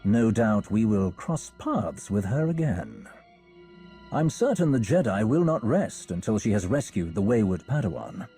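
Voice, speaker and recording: A man voicing a composed, learned scholar in a game, soft and slightly husky, with polite, measured formality. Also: lowish voice, very animated, faint music behind. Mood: dryly disdainful. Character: courteous, learned male scholar of ancient relics, composed. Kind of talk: video game cutscene acting.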